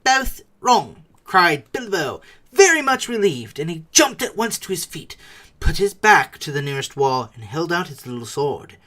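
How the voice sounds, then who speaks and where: A man narrating a storybook dramatically, moving theatrically between hushed and emphatic delivery. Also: on the high side, very animated, moderate pace. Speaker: audiobook narrator.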